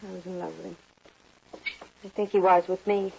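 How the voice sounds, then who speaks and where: An actress playing an ashamed, heartsick young saloon girl in an old western radio drama, her voice husky and breathy. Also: on the low side, level tone, normal speed, mellow, a little hiss or hum. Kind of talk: emotional old-time radio acting. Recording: dull vintage radio recording.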